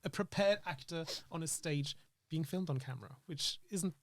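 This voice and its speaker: Higher-pitched earnest man chatting casually. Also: normal speed, noisy background. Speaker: interview guest, actor and screenwriter. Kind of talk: casual reflective interview chat.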